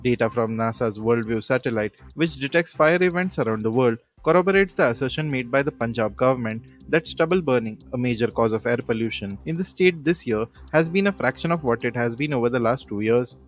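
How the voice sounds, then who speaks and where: Man, Indian accent, medium pitch, formal news reading, measured delivery, background music. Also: animated. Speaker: news presenter.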